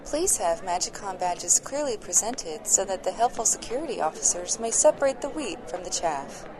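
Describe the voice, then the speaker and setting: A woman's voice: mid-range voice, animated, moderate pace, music in the background, close to an everyday voice. A woman playing a polite, snidely courteous train announcer in a comedic audio drama, giving scripted announcements. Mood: polite, formal.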